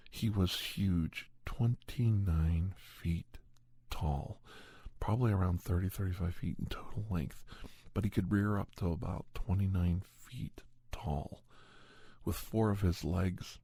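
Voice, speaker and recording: Male ASMR storyteller, very low pitch, dark soft tone, breathy husk, measured halting first-person narration. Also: no room sound. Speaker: ASMR storyteller and podcast host.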